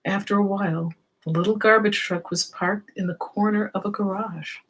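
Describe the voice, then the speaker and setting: A woman's voice: medium pitch, fairly even intonation, normal speed, rough recording. A woman reading a children's story aloud, expressively, with explanatory asides and questions for young listeners.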